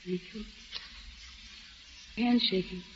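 An actress playing a steady, weary young wife in a 1940s radio drama, composed and quietly dry. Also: female voice, medium pitch, slow pace, lightly acted. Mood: tender. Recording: dull old radio recording with light hiss.

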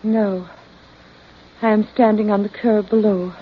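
An actress playing a haunted young woman in a trance, speaking in halting short phrases in a melodramatic vintage radio style. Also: mid-range voice, little pitch movement, slightly rough. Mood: entranced, haunted. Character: entranced young woman clairvoyant, haunted, fateful. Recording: dull old radio recording.

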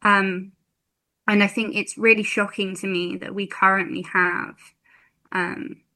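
A woman's voice: mid-range voice, level tone, pushed and bright. A woman in her twenties, a campaigner guest on a radio interview, speaking thoughtfully with many ums. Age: 20s. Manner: thoughtful, many ums, slow measured phrasing.

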